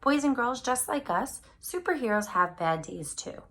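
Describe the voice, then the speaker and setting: A woman reading a picture book aloud to children, speaking to them directly with animated, drawn-out emphasis on key words. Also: a little high. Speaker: read-aloud storyteller for children.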